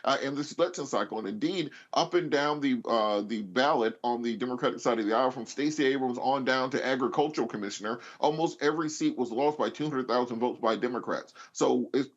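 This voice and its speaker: A man giving unscripted political commentary as a panelist, with frequent filled pauses and false starts. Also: medium pitch, muffled recording.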